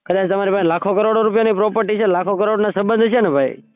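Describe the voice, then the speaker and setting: A man's voice: high-pitched, very fast, no echo. A man chatting casually on a recorded phone call, often checking that the listener agrees. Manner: repeatedly seeks agreement with tag questions. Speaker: caller.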